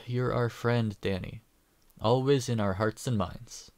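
A woman playing a kind, patient adventurer in a fantasy audio drama, talking in a gentle, casual, reassuring way. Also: rumbling low, measured pace, no room sound. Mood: warm, reassuring.

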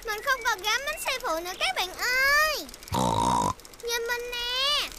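Excitable, high-pitched little girl pig. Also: measured pace, heavy background noise. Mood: eager. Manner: exclaiming, childlike, eager. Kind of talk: dubbed preschool cartoon acting. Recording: music behind the voice.

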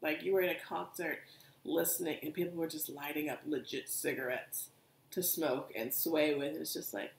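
A young woman, co-hosting a podcast and telling stories in an animated, casual way. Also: low-pitched, normal speed, gravelly. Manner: animated storytelling, many asides. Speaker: podcast co-host. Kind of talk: casual chat. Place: living room couch, indoors. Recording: echoey room.

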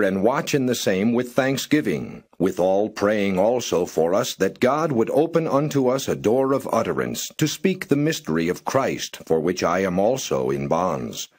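A man reading Bible verses aloud in a slow, measured way, pausing between phrases. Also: lowish voice, a little mellow, husky. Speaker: scripture reader.

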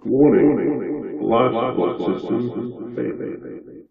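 A man voicing a robotic ship computer giving emergency announcements, with a deepened, slowed and echoing voice. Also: heavily acted. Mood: urgent warning. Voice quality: gravelly, deepened. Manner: slow, monotone warning announcement. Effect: robot effect with lowered pitch, slowed tempo and echo. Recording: tinny narrow-band tannoy-like sound.

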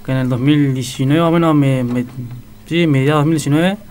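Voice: mid-range voice, fairly even intonation, fast, a little mellow, slight background noise. A car club member telling how the group started, speaking hesitantly with fillers in a radio interview.

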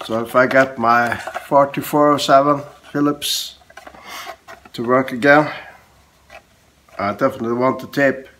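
Man in his forties, slow unhurried pace, low-key, short halting phrases, congested with a cold, casual home vlog, noticeable background noise. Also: fairly bright tone. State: has a cold. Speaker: hobby YouTuber, host of an unboxing vlog. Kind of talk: casual unboxing chat. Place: small room at home, indoors, on a couch. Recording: clear background noise, handling clicks.

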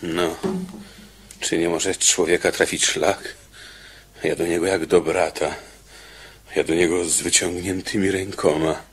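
A low-voiced, irritable convict in a radio drama. He grumbles slowly and bosses his cellmate around, repeating himself in exasperation. There is light noise on the recording. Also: flat delivery. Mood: exasperated, bitter. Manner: exasperated, grumbling, halting repetitions. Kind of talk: radio play acting.

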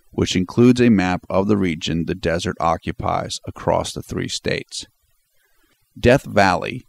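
A man reading a scripted voice-over in a formal, measured, explanatory way. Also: low-pitched, vocal fry, darkish tone, no echo. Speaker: channel narrator.

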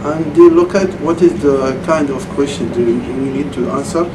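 A man in his thirties with a Saudi Arabian accent, a former student answering interview questions in halting English with frequent restarts, recorded in a computer lab. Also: mid-range voice, fairly even intonation. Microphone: far camera mic. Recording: distant camera mic, air conditioning hum.

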